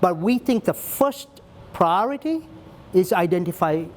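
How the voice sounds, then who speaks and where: A man with a fairly high voice speaks earnestly at a breakfast Q&A, taking his time and thinking aloud. Ums and restarts run through his answers. He sounds like a middle-aged welfare leader explaining his work. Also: expressive, unhurried, darkish tone. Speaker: child welfare leader answering audience questions. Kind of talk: panel Q&A, conversational.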